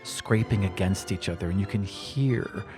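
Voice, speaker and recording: A man's voice: sits low, normal speed, over music. A man narrating an improvised tabletop role-playing game as game master.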